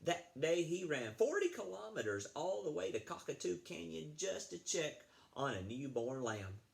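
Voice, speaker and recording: A man's voice: on the high side, very animated, normal speed, creaky. A man reading a picture book aloud to children, expressively, with casual asides. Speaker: read-aloud storyteller, apparently addressing schoolchildren.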